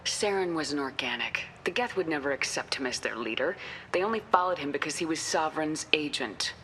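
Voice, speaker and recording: A woman's voice: sits low, expressive, brisk, bright, forceful tone, a little hiss or hum. An actress playing a resolute, no-nonsense female space soldier in a video game, direct and assertive. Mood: insistent. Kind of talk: video game dialogue acting.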